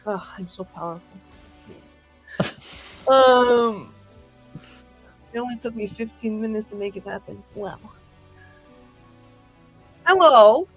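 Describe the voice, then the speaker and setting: A woman improvises as a player in a tabletop roleplaying game, rambling along at an unhurried pace. Her talk is slangy, sweary and wry, with music faintly behind her. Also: on the high side, expressive, rough recording. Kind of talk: casual improvised roleplay chat.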